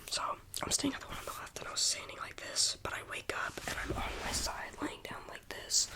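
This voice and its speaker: A young adult telling a rambling, casual story in a soft ASMR whisper, with a slight lingering cough. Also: fast talker. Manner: rambling, casual, full of "like" fillers.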